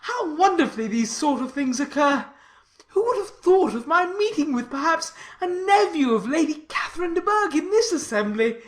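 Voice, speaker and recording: A woman voicing a pompous, obsequious and excitable clergyman in a dramatized classic novel, with wordy, emphatic delivery. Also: sits high, moderate pace, voice pushed up. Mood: exultant, fawning.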